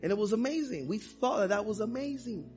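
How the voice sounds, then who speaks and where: Man preaching, high voice, soft guitar music behind, impassioned. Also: animated, a little fast. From Dominican Republic. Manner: impassioned preaching with personal stories. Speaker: pastor. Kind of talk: sermon.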